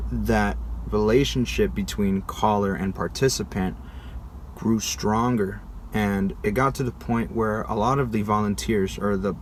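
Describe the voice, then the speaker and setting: Low-voiced young American man, casual delivery. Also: a little hiss or hum. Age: young adult. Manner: informal, conversational. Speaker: video creator presenting a school project. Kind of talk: casual presentational vlog narration.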